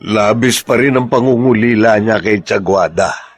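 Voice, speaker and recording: An actor playing a humble, kindly, awkward man in a Filipino drama, speaking haltingly. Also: fairly low pitch, dry recording. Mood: sheepish, worried. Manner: hesitant, halting. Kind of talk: Filipino radio-style drama acting.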